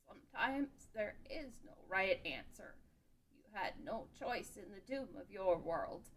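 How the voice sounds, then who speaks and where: A woman's voice: mid-range voice, expressive, a little slow. A woman playing a stoic elderly royal caretaker in a table read of a fan script. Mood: stoic, measured. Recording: clear background noise.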